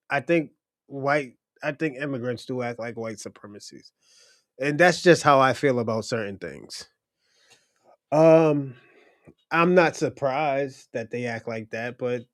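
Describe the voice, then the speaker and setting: A man hosting a livestream, reacting in loud, laughing, slang-heavy casual commentary. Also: medium pitch. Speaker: livestream host.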